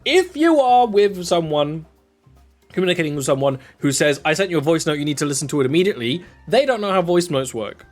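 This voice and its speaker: A man's voice: sing-song, a little fast, faint music behind. A young British man with a southern English accent, rambling casually while he streams a video game. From England. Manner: rambling, chatty, with sudden jumps in pitch. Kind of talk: casual gameplay commentary.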